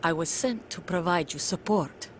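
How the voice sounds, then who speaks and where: Woman playing grave undercover soldier, video game drama, slightly low pitch, guarded, controlled, confiding. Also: moderate pace, slight background noise, natural voice. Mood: guarded, urgent. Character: guarded female undercover soldier, grave mother of a stolen child.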